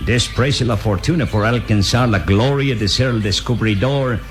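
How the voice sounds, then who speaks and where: An actor playing a greedy, scheming expedition captain in a radio serial, mocking and falsely deferential. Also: male voice, mid-range voice, moderate pace, soft background music, close to an everyday voice. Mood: gloating, sly. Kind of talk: old radio serial acting.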